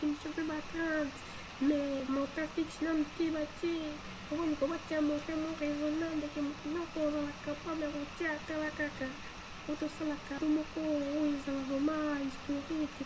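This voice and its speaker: A woman's voice: high-pitched, noisy background, natural voice. A woman with a Congolese accent playing a talkative woman in a cartoon. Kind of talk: animated cartoon dialogue in Lingala and French.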